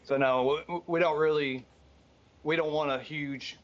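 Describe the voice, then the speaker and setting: An easygoing man with a somewhat higher-pitched voice chats as a podcast guest, leaning on fillers like "you know" and "like". The sound is a bit muffled. He talks relaxed and friendly, with light laughs. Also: a little slow. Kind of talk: casual podcast conversation.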